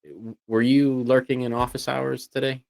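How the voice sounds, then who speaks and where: A man's voice: mid-range voice, flat delivery, normal speed, creaky, no echo. A man chatting casually as a livestream co-host, talking in a rambling way with lots of "you know"s. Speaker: co-host of a voice tech show.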